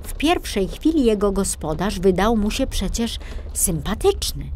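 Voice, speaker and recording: Woman narrator, children's audiobook, unhurried, mid-pitched, expressive, audible background ambience. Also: a little hiss or hum. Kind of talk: scripted children's story narration.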